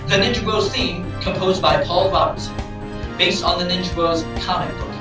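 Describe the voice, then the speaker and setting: A man's voice: sits high, fairly even intonation, moderate pace. A man reading scripted, dramatic storytelling narration for an amateur audio drama. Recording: echoey, distant hobby recording, loud music.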